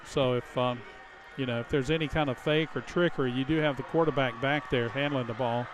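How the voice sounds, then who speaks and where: An American man giving conversational, halting color analysis at a live high school football game in a stadium. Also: fairly even intonation, noisy background. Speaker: color commentator. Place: outdoor stadium.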